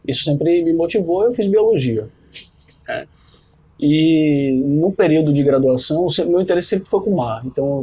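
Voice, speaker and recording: A man's voice: fairly high pitch, dark tone, breathy edge. A man with a Bahian Brazilian accent, speaking conversationally as a podcast guest about his own experience. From Salvador, Bahia, Brazil. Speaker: podcast guest, biology professor and researcher. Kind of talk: conversational account of own career.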